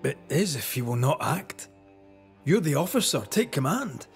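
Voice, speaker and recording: Firm, resolute ghost hunter. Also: male voice, a little high, big pitch swings, normal speed, soft background music. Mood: urgent challenge. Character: resolute, principled male ghost hunter, challenging and earnest. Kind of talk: dramatic video game acting.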